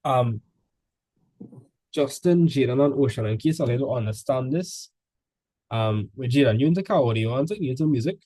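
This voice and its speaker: A man's voice: medium pitch, animated, normal speed, pauses often, warm tone, no echo. A male teacher tutoring students in a casual session, full of "like" fillers, quizzing them with questions as he goes.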